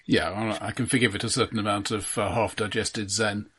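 A man co-hosting a podcast, discussing films casually in a measured, analytical and somewhat hesitant way. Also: lowish voice, flat delivery, fairly bright tone, breathy edge. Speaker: podcast co-host.

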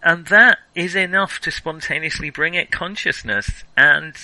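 A man co-hosting a casual film discussion, talking in a wry, animated, emphatic way. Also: fairly high pitch, unhurried, no room sound, poor audio. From Europe. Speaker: podcast co-host.

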